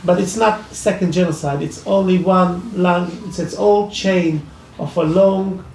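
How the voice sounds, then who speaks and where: Gravelly man with an Iraqi accent, echoey hall. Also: high voice, steady tone, darkish tone, a little hiss or hum. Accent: Iraqi Arabic. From Iraq. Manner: halting, many restarts and fillers. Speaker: speaker at a public discussion. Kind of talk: personal testimony at a talk. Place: library event room. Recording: echoey hall, mic from far off.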